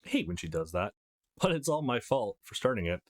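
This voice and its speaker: A man's voice: mid-range voice, sing-song, a little fast, close to an everyday voice. A man reading the part of a cocky but easygoing eighteen-year-old schoolboy in a visual novel, conversational and self-assured. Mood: reflective.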